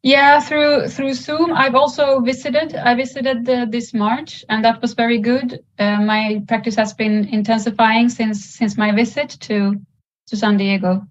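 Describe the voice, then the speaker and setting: A woman talking as a meditation student in a casual question-and-answer conversation, speaking in a halting way. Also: fairly high pitch, little pitch movement. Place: video call. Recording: muffled video call audio.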